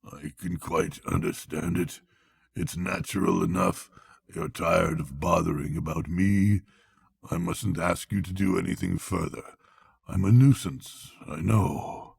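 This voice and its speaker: A man voicing a languid storybook toad, feeble and murmuring, playing the mournful martyr as if gravely ill. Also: low voice, fairly even intonation, measured pace, a little mellow, no echo, heavily acted. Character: languid, self-pitying male toad feigning grave illness.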